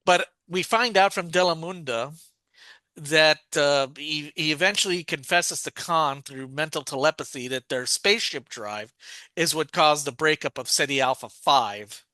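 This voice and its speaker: Bright-voiced man recapping a plot. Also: on the high side, moderate pace, no room sound. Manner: rambling, many restarts and fillers. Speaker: podcast co-host.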